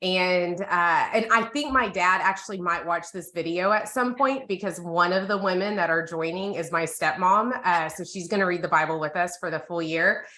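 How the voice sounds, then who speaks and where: A woman's voice: lowish voice, moderate pace, hardly any pauses, sharp tone, echoey room. An American woman in her thirties giving a candid, casual personal testimony as a co-host. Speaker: co-host of a women's Bible reading group.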